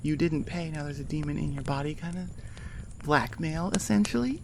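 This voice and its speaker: A woman's voice: deep voice, normal speed, creaky, noisy background. A woman audio creator chatting casually to her listeners in an informal, self-deprecating, rambling way. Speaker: ASMR audio creator and host.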